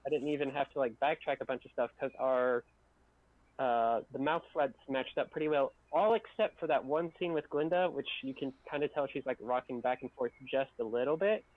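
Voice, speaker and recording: A man's voice: medium pitch, a little fast, no room sound. A man chatting casually as a video editor and co-host on an online group stream. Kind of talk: casual group chat about editing. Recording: tinny narrow phone-like call line.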